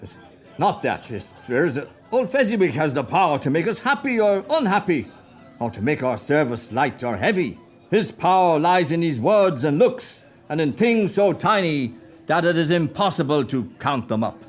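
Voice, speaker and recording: High-voiced old miser, man doing him, stammers and quavers, radio play, faint music behind. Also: big pitch swings, moderate pace. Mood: pained. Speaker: amateur radio play actor. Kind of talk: radio drama acting.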